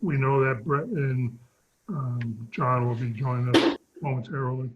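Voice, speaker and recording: A man with a fading, husky voice chairs a town sewer commission meeting over a video call, stumbling through a scripted opening. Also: mid-range voice, unhurried, heavy background noise. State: losing his voice. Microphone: remote video call. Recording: dull lo-fi call audio.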